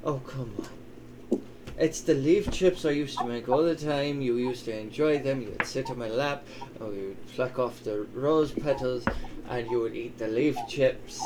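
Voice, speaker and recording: A man voicing a gruff, doting old elven father who swears and teases in an improvised tabletop roleplay. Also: mid-range voice, unhurried, close to an everyday voice. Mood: fond nostalgia. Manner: wry, teasing, swearing. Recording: clearly noisy, ambient sound behind.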